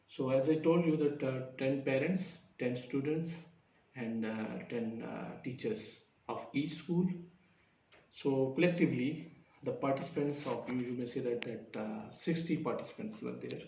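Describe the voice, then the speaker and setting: Halting man presenting research, far off. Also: medium pitch, mellow. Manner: halting, many fillers and self-corrections. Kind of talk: academic presentation. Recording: roomy, distant, dull lo-fi sound.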